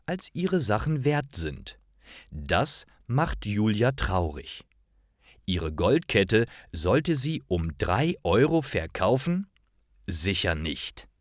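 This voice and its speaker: Guy narrating simple stories for learners, lowish voice, careful phrasing, super dry close sound. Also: dry recording.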